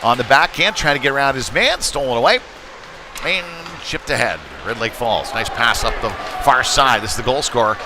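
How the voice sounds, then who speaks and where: Man calling hockey over clear rink noise. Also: mid-range voice, lively intonation, moderate pace. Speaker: play-by-play hockey commentator. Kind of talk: live youth hockey play-by-play commentary. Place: ice rink, live stream broadcast.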